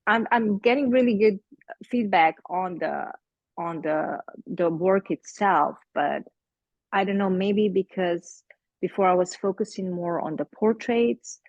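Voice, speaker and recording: Gravelly Slovenian-accented woman, hesitant. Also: mid-range voice, unhurried, no room sound, dull audio. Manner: hesitant, searching for words, many fillers. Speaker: artist presenting her work to a group. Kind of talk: casual group meeting talk. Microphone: video call.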